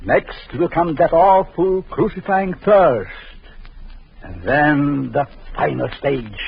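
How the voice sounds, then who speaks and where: An actor playing a cruel, vengeful doctor in an old radio drama, taunting in a slow, sinister way. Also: male voice, on the high side, vocal fry, husky, full character voice. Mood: menacing. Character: vengeful jealous male doctor, gloating and cruel. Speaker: villain. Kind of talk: 1940s radio acting. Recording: muffled old radio recording.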